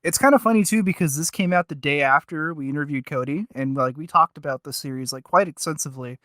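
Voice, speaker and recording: Male podcast co-host, bright slightly high voice, joking and halting delivery, very dry close sound. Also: no echo. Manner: joking, halting, self-interrupting. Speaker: podcast co-host reviewing audios. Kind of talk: casual review chat.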